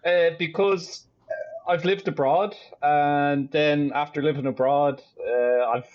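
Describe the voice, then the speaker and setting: A man with a softened Irish accent, chatting easily as a podcast guest and often repeating words as he starts a sentence. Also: on the high side, steady tone, slow pace, muffled recording. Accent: Irish, softened international English. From Ireland. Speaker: guest, optics business owner. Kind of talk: casual podcast conversation.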